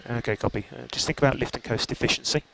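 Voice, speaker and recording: A man engineers a race over team radio, reading gaps and lap times in a level, unhurried voice. His pitch sits in the middle. The radio adds a clear hiss behind him. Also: fairly even intonation. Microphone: headset radio. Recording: noisy team radio line.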